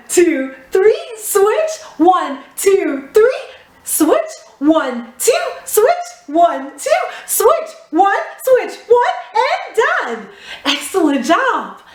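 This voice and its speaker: A woman teaching a theatre lesson to young children, giving instructions in an expressive, clearly enunciated way. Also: very high-pitched. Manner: slow, clearly enunciated, exaggeratedly expressive delivery for small children. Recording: echoey room.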